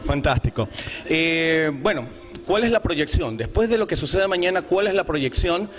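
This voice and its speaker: Male interviewer, slightly high voice. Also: expressive, normal speed, fairly bright tone, soft background music. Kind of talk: casual interview questions. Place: indoor room. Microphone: handheld interview microphone.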